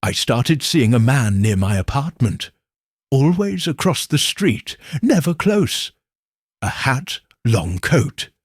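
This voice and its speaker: Guy doing nervous young lady client in a mystery audiobook, defensive, hesitant, voice swoops up and down, bit husky. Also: medium pitch, very animated, slow pace, dry recording, clean studio sound, heavily acted. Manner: hesitant, anxious explaining.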